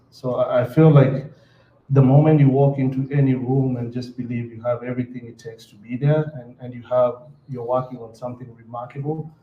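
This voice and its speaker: A man's voice: mid-range voice, slight background noise. A man from Kenya with a Kenyan accent, answering earnestly as a panelist and often repeating words as he builds a sentence. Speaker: panelist entrepreneur. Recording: roomy, slightly dull hall sound.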